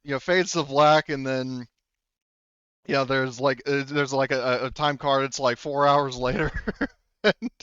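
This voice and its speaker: A man's voice: medium pitch, fairly even intonation, measured pace, a bit bright, clear, resonant tone, no room sound, muffled recording. A man acting as game master, narrating a tabletop game in a casual, jokey, profane, improvised way.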